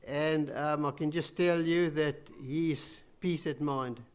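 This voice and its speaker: Man, loud, chatty, somewhat high voice, church announcements. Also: fairly even intonation, slow, clear, resonant tone. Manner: loud, chatty, self-deprecating, many fillers. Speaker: church elder giving welcome and announcements. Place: small church hall.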